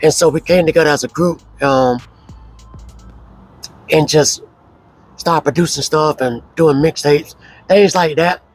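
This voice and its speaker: Man guest, hoarse and husky, fairly high voice, relaxed storytelling, faint music underneath. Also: low-quality recording. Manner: relaxed, slow, with frequent fillers. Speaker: interview guest. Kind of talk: casual storytelling interview.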